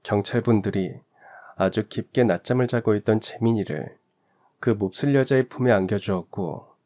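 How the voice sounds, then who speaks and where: A man's voice: lowish voice, moderate pace, dark tone. A man reading a first-person story aloud in an earnest, confiding storytelling manner. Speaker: story narrator. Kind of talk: scripted first-person narration.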